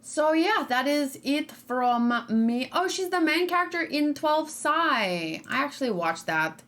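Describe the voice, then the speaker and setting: A woman's voice: high voice, lively intonation, measured pace, fairly bright tone. A young woman streaming and reacting live, chatting casually, reading viewer comments aloud and rambling with frequent fillers. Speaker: streamer reacting to game character.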